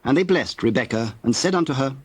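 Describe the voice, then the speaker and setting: A man narrating scripture from a script in a measured, formal storytelling manner. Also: medium pitch, expressive, husky.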